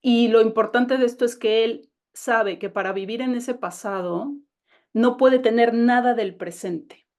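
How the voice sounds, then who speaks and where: A woman with a Mexican Spanish accent talks about her own novel in a relaxed, chatty way. She restarts her sentences often and keeps going with eager energy. Her voice comes through a video call. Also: a little high, measured pace. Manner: chatty, enthusiastic, many restarts. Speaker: novelist guest. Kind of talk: casual conversation over a call.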